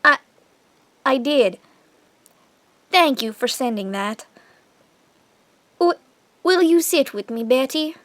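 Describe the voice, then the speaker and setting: A woman playing a fragile, loving, worried middle-aged mother in an audio drama, halting and near tears. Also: sits high, expressive, no echo. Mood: tearful, tender. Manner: halting, hesitant.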